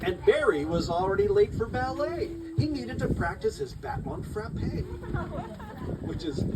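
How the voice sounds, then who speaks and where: A man reading his picture book aloud at a live event, expressive and rhythmic in the rhymes, with informal asides to listeners. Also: high-pitched. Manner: expressive, rhythmic storytelling of rhyming verse. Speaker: children's book author reading his own book. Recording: distant mic, noisy live room.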